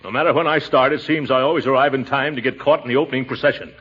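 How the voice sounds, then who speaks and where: A man's voice: medium pitch, steady tone, racing, a bit bright, slightly rough. A man playing a pompous, mischievous middle-aged uncle in 1940s radio comedy style, theatrical and given to indignation and hearty chuckles. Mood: hearty, questioning. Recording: noisy, muffled 1940s radio recording.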